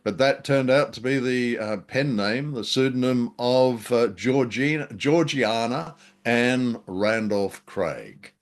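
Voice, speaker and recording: A man's voice: medium pitch, slow, hardly any pauses. A man co-hosting a podcast, chatting casually about an old radio drama, hesitant with many ums and a dry, self-mocking humor. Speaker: podcast co-host.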